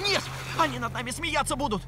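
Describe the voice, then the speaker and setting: A woman's voice: high voice, quick pace, very noisy, lightly acted. A woman voicing a cocky, boastful, bossy little boy monster in a children's cartoon, with a swaggering, exclaiming delivery. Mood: defiant. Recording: music behind the voice.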